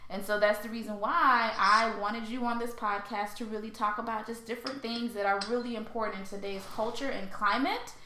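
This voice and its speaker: A woman's voice: on the high side, steady tone, brisk, few pauses, pushed and bright, a little hiss or hum. An American woman hosting a conversational podcast interview, speaking in an earnest, reflective and affirming way. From born in Haiti, raised in St. Louis, Missouri, USA. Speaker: podcast host. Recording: roomy, echoey sound.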